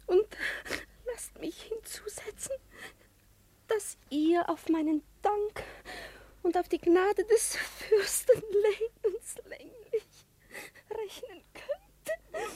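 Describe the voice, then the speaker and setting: An actress playing a noble princess in a radio drama, formal, solemn and full of emotion. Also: female voice, very high voice, expressive, extremely slow, dark tone, full character voice. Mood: moved, gracious. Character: noble princess, gracious, shaken and commanding. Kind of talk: classic German radio drama acting.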